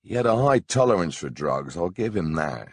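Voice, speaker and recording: A man reads a memoir in an extremely low, gravel-filled voice thick with vocal fry. He takes his time, sounding laid-back and wryly blunt, as if telling stories across a table. The sound is close and dry. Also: very animated, moderate pace, dry recording. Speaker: audiobook narrator. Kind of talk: memoir audiobook narration in a conversational, storytelling way.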